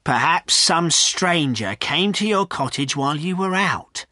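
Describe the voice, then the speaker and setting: A man's voice: a little high, lively intonation, unhurried, bright, forceful tone, dry recording, studio quality, pitched above the performer's own voice, heavily acted. A man voicing a blunt, scornful village farrier in a storybook, stating things dogmatically. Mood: practical. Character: scornful, matter-of-fact village farrier, male.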